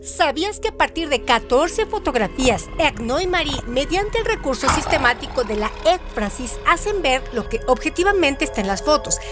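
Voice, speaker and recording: Woman, high voice, formal didactic delivery, scripted essay narration, clear music bed. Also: sing-song, normal speed, few pauses. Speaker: radio presenter. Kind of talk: scripted literary essay narration with question hooks. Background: background music.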